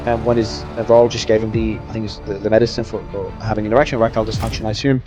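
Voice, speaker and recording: A man talks quickly in a conversational discussion, stopping and repeating himself as he reads out messages and comments on them. Clear music plays behind his voice. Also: lowish voice, little pitch movement. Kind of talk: conversational discussion, reading emails aloud.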